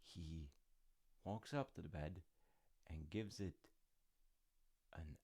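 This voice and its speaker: A man's voice: low-pitched, slow pace, mellow, slightly rough. A man retelling a fairy tale in a casual, rambling way with informal, wry asides. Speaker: storyteller dad character in audio roleplay.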